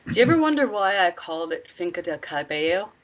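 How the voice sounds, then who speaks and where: A woman playing a commanding, measured female cult leader in a screenplay reading, instructive and deliberate. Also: on the low side, very animated, low-quality recording.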